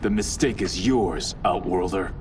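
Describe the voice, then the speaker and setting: An actor playing an honorable, resolute ninja warrior in a video game, speaking in an earnest, formal way. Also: male voice, sits low, unhurried. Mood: defiant. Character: honorable, resolute male ninja warrior loyal to his clan. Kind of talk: cinematic video game acting. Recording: loud music behind the voice. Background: cinematic game music.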